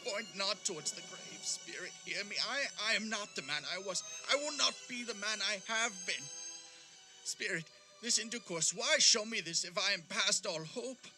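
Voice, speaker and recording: An actor playing a frightened, repentant old miser in an audio play, halting and imploring as he repeats himself. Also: high voice. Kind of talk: theatrical radio-play acting.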